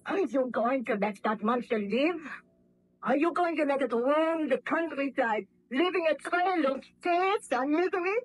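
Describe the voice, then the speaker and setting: An actress playing an impassioned, reproachful young fiancee in a melodramatic, formal 1930s radio drama style. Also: female voice, high voice, expressive, measured pace. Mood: bitterly accusing. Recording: muffled old radio drama recording.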